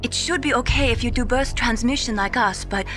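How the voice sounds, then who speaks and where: Woman acting a high-voiced earnest tech specialist. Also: brisk, music in the background, close to an everyday voice. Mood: helpful, earnest. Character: helpful, technical female communications specialist. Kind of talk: dramatic video game radio briefing.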